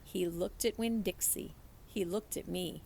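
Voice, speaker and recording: Creaky-voiced woman reading a children's story. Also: on the low side, a little slow, a little hiss or hum. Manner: animated storytelling, acts out dialogue. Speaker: teacher reading a book aloud. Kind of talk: expressive children's audiobook-style read-aloud.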